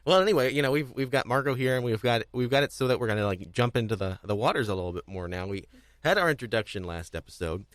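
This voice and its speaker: A man hosting a casual panel discussion, speaking haltingly and often repeating himself as he restarts phrases. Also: medium pitch, lively intonation, no echo. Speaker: podcast host.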